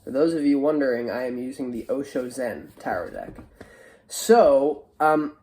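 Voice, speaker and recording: A tarot host with a soft, dark-edged, mellow tone and a mid-range pitch. The nose sounds blocked, giving a nasal, stuffy color. The delivery is informal and chatty, feeling its way through a spiritual card reading. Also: expressive, moderate pace, rough recording. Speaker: tarot reader, online show host.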